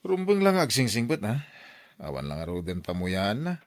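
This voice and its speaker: A man's voice: on the low side, huge pitch swings, moderate pace. An actor playing a stubborn, lovestruck young man, a devoted son, in an Ilocano radio drama. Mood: lovestruck, insistent. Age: young adult.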